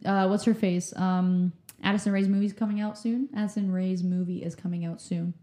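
Woman co-host, raspy, animated ranting, roomy echoey sound. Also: medium pitch, a little fast. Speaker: podcast co-host and tech person. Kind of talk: casual chat.

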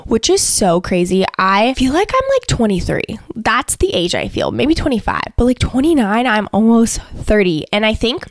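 A woman's voice: a little high, sing-song, moderate pace, no room sound, studio quality. A woman in her late twenties talking casually and candidly on her solo podcast, full of "like" fillers. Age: late 20s. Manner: chatty, frequent "like" fillers, candid and intense. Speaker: podcast host.

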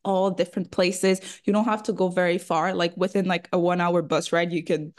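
A woman's voice: on the low side, level tone, fairly quick. Young French-speaking woman, chatty guest. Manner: warm, laughs often, uses many fillers like "like". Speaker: guest, food photographer and cookbook author. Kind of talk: casual interview chat.

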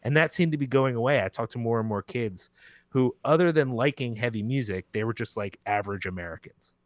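A man's voice: medium pitch, a little fast, dry recording. A man talking casually in an interview as a podcast guest, informal and rambling, often correcting himself mid-sentence. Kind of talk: casual conversational interview talk.

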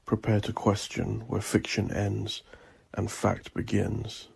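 Male narrator, low and gravel-edged, theatrical yet restrained in inflection, for solemn, deliberate scripted storytelling. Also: monotone. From Northern Ireland. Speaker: author narrating own story.

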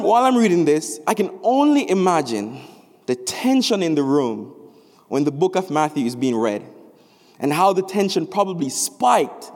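A young man from Jamaica delivers a chapel sermon in a somewhat high voice. His phrasing is animated and rises on emphatic words. The sound carries a long reverb from the hall. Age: young adult. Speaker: student preacher. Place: large chapel.

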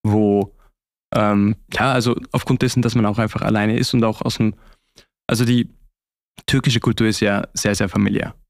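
A man's voice: fairly low pitch, level tone, normal speed, gravelly, dry recording, studio quality. A young man with an Austrian accent, talking reflectively and conversationally as an interview guest. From Austria (Vorarlberg).

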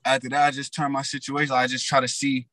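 A raspy young man telling his story in loose, slang-heavy casual talk as a rapper guest. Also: medium pitch, completely flat delivery, fast, sharp tone, no echo, clean studio sound.

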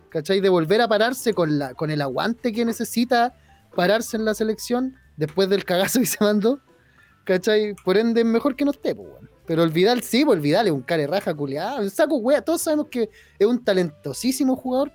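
A young man with a Chilean accent chatting about football in a relaxed, slangy way, full of swearing and lively asides. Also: high voice, dry recording. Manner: slangy, swearing, animated storytelling. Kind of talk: casual football chat.

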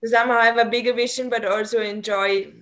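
A woman's voice: a little high, fairly even intonation, fast, a loud crowd. A woman whose first language is German, a researcher guest, speaking earnestly with restarts and non-native phrasing. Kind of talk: casual interview chat.

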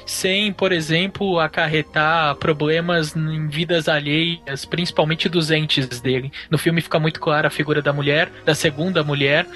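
A man co-hosting a film podcast, listing facts in a steady run and then musing on the film. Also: fairly high pitch, moderate pace, few pauses, faint music behind. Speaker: podcast co-host. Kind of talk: casual podcast discussion.

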